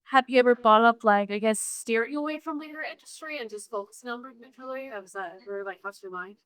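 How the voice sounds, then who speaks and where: A woman's voice: on the high side, quick pace, no room sound. A woman from the audience asking a question in a hesitant, tentative way.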